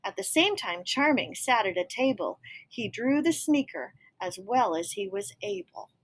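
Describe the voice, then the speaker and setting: A woman's voice: medium pitch, animated, moderate pace. A woman reading a children's picture book aloud expressively, with explanatory asides to young listeners. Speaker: storybook reader.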